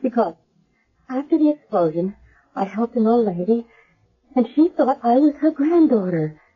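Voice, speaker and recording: A woman's voice: a little high, animated, moderate pace, breathy edge. An actress playing a young woman with amnesia, hesitant and stammering, in a 1970s radio drama. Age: young adult. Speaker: patient with amnesia. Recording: dull old radio broadcast.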